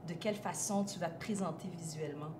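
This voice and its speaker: A woman with a fairly low voice interviews her guest in a casual, friendly way, asking probing questions. The café around her is echoey, and clear noise can be heard behind her. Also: fast talker. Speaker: interviewer host.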